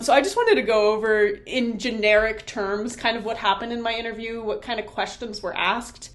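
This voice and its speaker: A young woman speaks to camera in a casual vlog style. Her voice sits a little high, and she is chatty with a jokey touch. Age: young adult. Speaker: vlogger and college program applicant.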